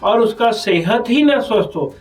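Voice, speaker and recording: A man's voice: sits high, lively intonation, measured pace, recorded from a distance, soft background music. A man with a North Indian accent speaking as a doctor being interviewed, explaining health matters earnestly and persuasively, often repeating phrases.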